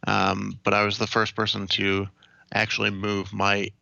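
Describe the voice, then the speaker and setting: A young American man from Ohio giving measured, explanatory answers as an interview guest. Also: on the low side, completely flat delivery, gravelly, pushed and bright, no echo, highs cut off. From Ohio, United States. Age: young adult.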